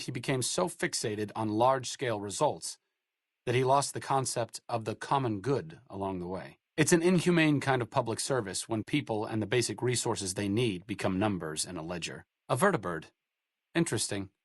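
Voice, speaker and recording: A man's voice: on the low side, dry recording. An actor playing a bookish, dry-witted male doctor, speaking with sarcastic, literate quips in video game dialogue.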